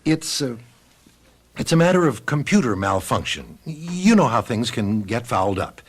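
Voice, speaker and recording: An actor playing a timid, evasive official in a radio drama, hesitant, stammering and apologetic. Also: male voice, mid-range voice, sing-song, normal speed, husky. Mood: flustered, apologetic.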